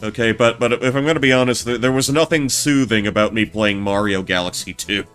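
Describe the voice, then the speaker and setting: A man streaming a game, chatting casually in a naturally low voice, often stammering and restarting his sentences. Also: fairly quick, a bit bright. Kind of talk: casual let's-play commentary. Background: game music.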